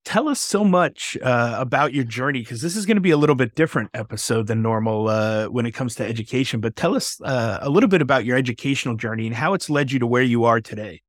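A man hosts a podcast interview in a casual, enthusiastic way, with mid-range pitch and plenty of fillers. The sound is dry and close. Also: quick pace, hardly any pauses, dry recording. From Texas. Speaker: podcast host.

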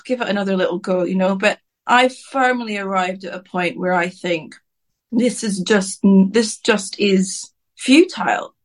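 Outspoken woman with a rambling delivery. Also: medium pitch. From Scotland. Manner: outspoken, rambling, self-interrupting. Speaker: singer-songwriter guest. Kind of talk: candid interview answers.

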